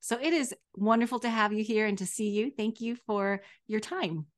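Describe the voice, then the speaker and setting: A woman's voice: medium pitch, normal speed. A woman hosting an interview. She introduces the guest and asks friendly, teasing questions, with some fillers. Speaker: interview host. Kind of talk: conversational interview.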